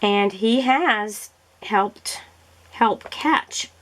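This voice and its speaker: A woman's voice: mid-range voice, very slow, fairly bright tone, slight background noise. A woman in casual, rambling chat as a crafting vlogger, often correcting herself, her throat irritated by allergies. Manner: rambling, chatty, self-correcting.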